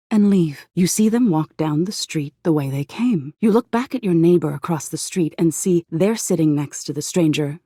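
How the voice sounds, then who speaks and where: A woman playing an eerie, softly menacing embodiment of existential dread in a video game, narrating slowly in a hushed, breathy voice that slips into whispers. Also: on the low side, no echo, studio quality. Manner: slow hushed storytelling, lapsing into whispers.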